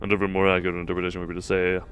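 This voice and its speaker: A man's voice: low-pitched, completely flat delivery, racing, fairly bright tone. A man live streaming a game, chatting casually, reading dialogue aloud and breaking off into asides to chat. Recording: game music behind the voice.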